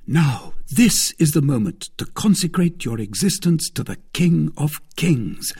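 A man's voice: on the high side, big pitch swings, unhurried, vocal fry, darkish tone, slightly rough, pitched above the performer's own voice, full character voice. A man voicing a sly, teasing young man in a storybook, with a mock-casual way of talking. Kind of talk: acted dialogue in a storybook reading.

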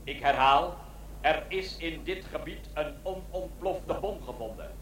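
An actor playing an insistent, official police announcer in a Dutch radio play, sounding like a public address. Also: male voice, fairly high pitch, measured pace, a bit bright. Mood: official, urgent. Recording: echoey public address amid crowd noise.

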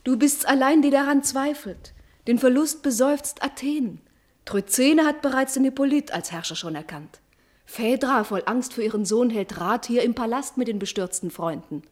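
A woman's voice: on the high side, moderate pace, natural voice. An actress playing a loyal, encouraging lady-in-waiting to a princess in a classical verse radio drama, speaking formally and declamatorily. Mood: insistent.

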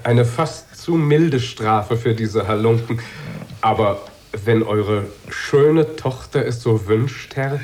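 A man's voice: mid-range voice, slow, darkish tone, slight background noise, natural voice. An actor playing an earnest young man who advises a tsar in a respectful, formal way in a children's radio play. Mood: firm, respectful. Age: young adult.

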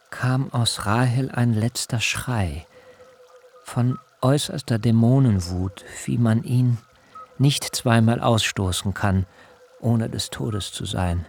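A troubled male servant of an ancient household, tells his tale in a radio drama. The voice is gravelly and creaky, moving slowly and solemnly, with faint noise behind it. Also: medium pitch, darkish tone. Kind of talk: literary radio drama narration.

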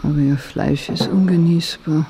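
A performer reading as a detached, measured narrator of remote, desolate islands in a literary radio play. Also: mid-range voice, steady tone, gravelly, music in the background, natural voice, rough recording.

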